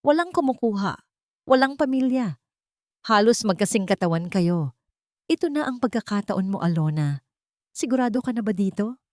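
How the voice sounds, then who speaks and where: Female reader playing urgent, secretive Filipina accomplice in an audiobook, pitched up, dry sound. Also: lively intonation, moderate pace, pauses often, no room sound, close to an everyday voice. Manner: insistent, secretive. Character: urgent, conspiratorial woman, loyal morgue attendant friend. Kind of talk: audiobook character dialogue.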